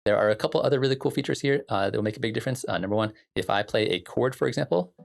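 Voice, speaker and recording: A man giving a casual software tutorial as a music production instructor, explaining things in an informal way. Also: fairly low pitch, rapid-fire, creaky.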